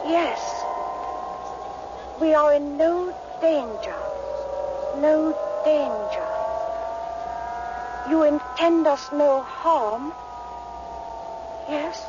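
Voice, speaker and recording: An actress playing a young female scientist, drained and entranced, speaking haltingly in a 1960s radio drama. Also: sits high. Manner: slow, halting, hushed delivery. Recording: muffled old radio recording, heavy noise.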